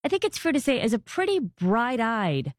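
Lively woman host, dry studio voice. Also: a little high, normal speed, no echo. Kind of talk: radio interview.

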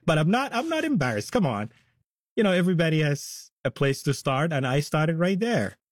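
A man's voice: fairly high pitch, sing-song, moderate pace, dry recording, clean studio sound. A man in his forties from Somalia, reflecting plainly on his past in a radio interview. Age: 40s. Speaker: interview guest.

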